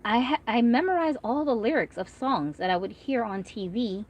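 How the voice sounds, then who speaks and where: A woman's voice: on the high side, lively intonation, moderate pace, dull audio. Chatty woman vlogger, rambling and friendly. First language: Korean. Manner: chatty, rambling, frequent fillers. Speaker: doll collector vlogger. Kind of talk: casual livestream chat reading comments.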